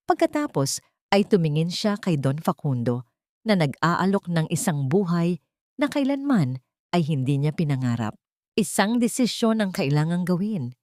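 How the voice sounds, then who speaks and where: A woman with a fairly low voice narrates a melodrama. Her delivery is scripted and dramatic, and the sound is very dry and close, free of room echo. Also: darkish tone.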